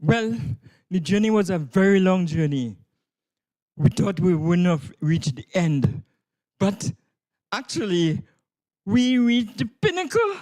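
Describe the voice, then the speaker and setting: A man's voice: high-pitched, very animated, takes it slowly, darkish tone. A man, speaking as a drama director answering an interview. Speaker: director of a school stage production.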